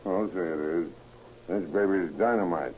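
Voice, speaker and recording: An actor playing a boastful, drunken Chicago gangster in an old radio crime drama, with swaggering, slangy tough talk. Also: male voice, low-pitched, moderate pace, darkish tone, husky. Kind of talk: 1930s radio acting. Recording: muffled old radio recording with noise.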